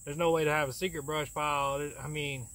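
A man's voice: fairly high pitch, level tone, a little fast, noisy background. A young man, giving fishing tips in a chatty, folksy, rambling way, recorded outdoors on a close camera. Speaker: fishing channel host. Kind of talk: casual fishing tips vlog. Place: outdoors in a leafy backyard. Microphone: camera close at arm's length.

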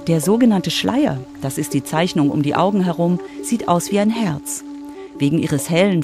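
A woman reporter giving scripted narration for a children's radio story. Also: fairly low pitch.

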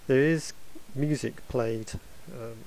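A man giving a halting, hesitant spoken introduction to a playback. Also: mid-range voice, steady tone, darkish tone, rough recording. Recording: faint hiss and background noise.

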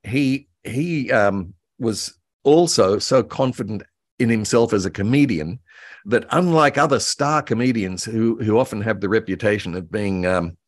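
An older man around seventy, reminiscing in an interview in a halting way, repeating words as he thinks. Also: lowish voice, dry recording, clean studio sound. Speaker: guest expert, author and voice actor. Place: indoor room by a window, video call.

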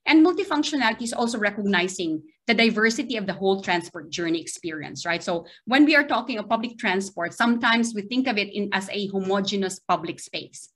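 A woman's voice: medium pitch, expressive, a little fast, hardly any pauses, fairly bright tone. A middle-aged woman with a Filipino accent giving an online academic lecture, hesitant and often checking in with a questioning tag. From Philippines. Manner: hesitant, checking in with frequent "right?". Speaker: university lecturer giving a seminar. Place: small video-call window, remote presentation.

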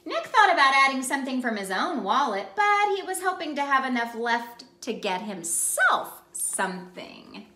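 A woman in her thirties doing an expressive children's picture-book read-aloud, addressing young listeners in an exaggerated way. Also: high-pitched, normal speed, hardly any pauses, a little hiss or hum. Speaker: children's ministry storyteller and host. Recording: roomy indoor echo.

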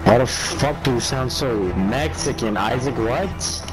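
A man's voice: medium pitch, a little slow, low-quality recording. A young man trash-talking and mocking friends profanely during a shooter game. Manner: mocking, profane, sing-song teasing. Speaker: gamer. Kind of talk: trash-talking gaming chat. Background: game music and gunfire.

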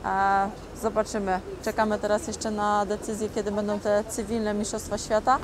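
A woman's voice: mid-range voice, little pitch movement, moderate pace. A young woman boxer answering interview questions outdoors in an upbeat, eager way. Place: outdoors by a glass building. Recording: outdoor city noise behind.

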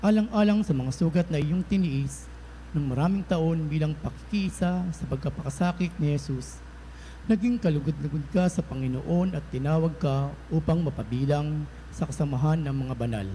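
A man slowly and solemnly reciting a devotional prayer aloud in church. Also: a little high, mellow, a little hiss or hum, low-quality recording. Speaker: prayer leader.

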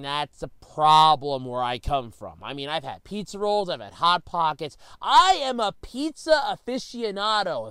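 Animated, high-pitched man on a rant. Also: clean tone, dry recording. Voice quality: slightly stuffy from a cold. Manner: exasperated, emphatic, sweary. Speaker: host of a rant video series. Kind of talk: comedic solo rant.

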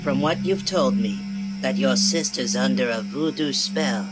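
A man voicing a wise, grave old voodoo grandmother in a comic-book dub, speaking solemnly and deliberately. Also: mid-range voice, loud music behind the voice, close to an everyday voice, rough recording.

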